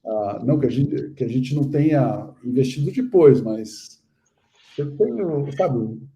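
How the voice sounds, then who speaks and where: Dark-voiced middle-aged Brazilian man. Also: medium pitch, animated, measured pace, muffled recording. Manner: earnest, many fillers and restarts. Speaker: guest investor. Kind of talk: casual live interview chat.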